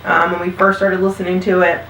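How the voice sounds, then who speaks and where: A woman's voice: lowish voice, little pitch movement, a little fast, a bit bright, slight background noise. Woman, 30s, vlog host and mother, upbeat and chatty, heard from a distance in a reverberant room. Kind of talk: casual chat recommending podcasts. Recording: roomy sound, mic at a distance.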